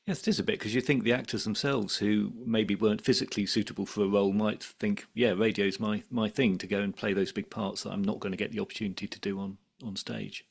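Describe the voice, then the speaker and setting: Guy hosting an interview podcast, lowish husky voice, bit of fry, conversational. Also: fairly even intonation, fast talker, hardly any pauses.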